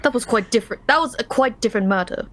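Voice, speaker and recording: A woman's voice: on the high side, fast, noisy background, voice pushed down, natural voice. A woman voicing a vain, dismissive upper-class detective in a video game, speaking in a formal, lofty manner.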